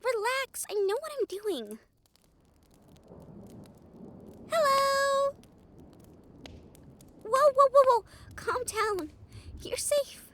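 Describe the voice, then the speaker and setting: A woman playing a cheerful, caring young female harpy in an audio roleplay, gushing, excitable and soothing. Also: extremely high pitch, takes it slowly, pauses often, a little hiss or hum, exaggerated voice. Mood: excited.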